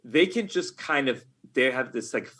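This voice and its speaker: A middle-aged man talking casually as an interview guest, often halting and rephrasing himself as he goes. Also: medium pitch, lively intonation, fairly bright tone. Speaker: podcast guest, game writer. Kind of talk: casual interview talk, reflective anecdotes.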